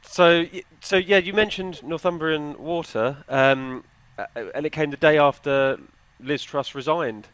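A man's voice: on the high side, fairly even intonation, measured pace, few pauses, a bit bright, clean tone, no room sound. A man talking conversationally as a reporter on a podcast panel.

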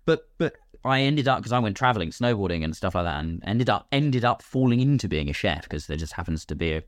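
A man's voice: on the low side, very animated, fast, no room sound, studio quality. A British man talking casually as a podcast guest, chatty and self-deprecating about himself. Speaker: guest, actor and filmmaker.

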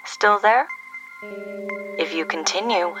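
An actress playing a cool, cryptic answering-machine voice for a secret agency in an audio drama, measured, clipped and ominous. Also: female voice, medium pitch, over music, natural voice, low-quality recording. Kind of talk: scripted audio drama phone greeting.

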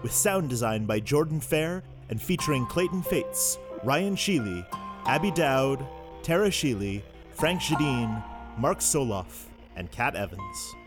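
Lively male announcer over loud music. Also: medium pitch, measured pace. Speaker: credits announcer. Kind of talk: scripted announcement.

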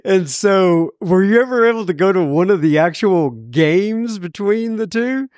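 A man's voice: high voice, animated, unhurried, darkish tone, no room sound, studio quality. An American man hosting a podcast in a folksy, chatty, rambling way, moving between casual introductions and interview questions. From United States. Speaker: podcast host.

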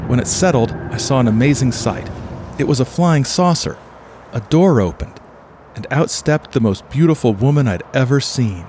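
A man's voice: mid-range voice, animated, normal speed, darkish tone, a little hiss or hum, lightly acted. A man playing an earnest, naive, slightly hesitant motel UFO believer in an audio play. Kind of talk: audio play acting and first-person narration.

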